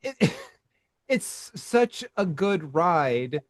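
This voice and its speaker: A man co-hosting a podcast in casual chat, animated and laughing often, restarting his sentences as he talks. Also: on the high side, drawn-out words, dry recording. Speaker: podcast co-host.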